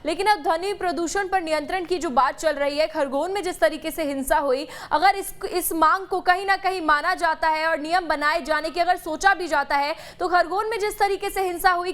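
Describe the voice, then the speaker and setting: High-pitched woman news anchor. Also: brisk, fairly bright tone. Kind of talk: broadcast news reading and questioning.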